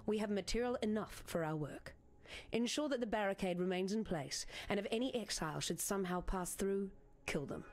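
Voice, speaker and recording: A woman voicing a cold, commanding villainess in a video game, measured, imperious and intimate. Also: lowish voice, natural voice. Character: cold, commanding villainess dictating orders to her lover.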